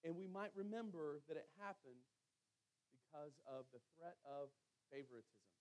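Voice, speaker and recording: A man preaching a sermon as storytelling and scripture reading, using emphatic pauses and repetition. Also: fairly high pitch, animated, darkish tone, low-quality recording.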